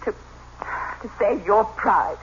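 An actress playing a weary, defensive wife with a dramatic, halting delivery in a 1960s radio suspense drama. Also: female voice, medium pitch. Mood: defensive, indignant. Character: weary, defensive, indignant wife, a woman with secrets. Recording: dull, very noisy old radio recording.

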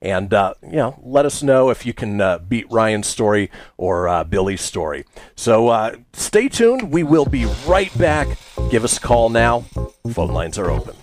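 A man hosting a live radio talk show with an animated broadcast delivery. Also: lowish voice, unhurried, music in the background.

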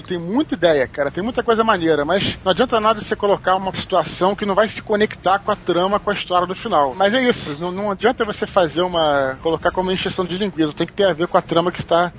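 A man's voice: high voice, fairly bright tone, a little hiss or hum. A Brazilian man speaking as an author and guest, explaining things in an informal, enthusiastic, wandering way. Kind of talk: casual explanatory podcast chat.

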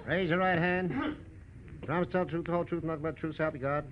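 A man with an American accent playing a brisk court bailiff, rattling off formal lines in 1940s radio drama. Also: a little high, fairly even intonation, background noise, natural voice. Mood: rote, bored. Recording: dull old radio recording.

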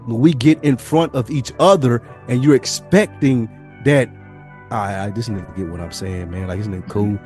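A man speaks casually as a podcast speaker over music, at a middling pitch. He is an animated storyteller who slips into imagined dialogue and swears freely. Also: moderate pace.

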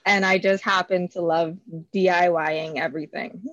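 A woman's voice: fairly low pitch, steady tone, moderate pace, a bit bright, dry recording. A woman, talking as an interview guest, hesitant with many fillers and frequent laughs. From Bahamas. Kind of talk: casual interview.

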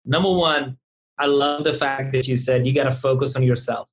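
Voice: mid-range voice, steady tone, moderate pace. A podcast host talking conversationally and reflectively, in an earnest, encouraging way, repeating phrases for emphasis. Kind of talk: conversational interview, reflective recap.

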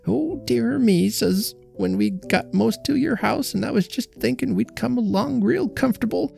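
Man voicing a high, fretful old country wife. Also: moderate pace, creaky, a little mellow, faint music behind, heavily acted. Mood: distressed. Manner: plaintive, fluttering, folksy. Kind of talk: audiobook character voice.

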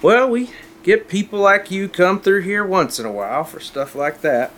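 Audio drama role: middle-aged small-town cook, folksy and helpful, slightly high voice with lively swings. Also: male voice, moderate pace.

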